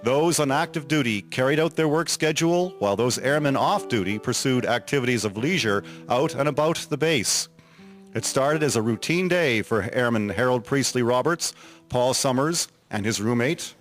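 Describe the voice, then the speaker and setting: A man's voice: medium pitch, normal speed, faint music behind. A man reading scripted historical narration in a formal documentary style.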